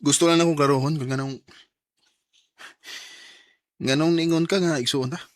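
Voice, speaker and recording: A man's voice: a little high, fairly even intonation, normal speed, no room sound, natural voice. Man acting an earnest young Bisaya student, dry sound. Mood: guarded, resentful. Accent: Filipino Bisaya. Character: young male student, earnest, determined, resentful of absent father. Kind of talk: radio drama acting.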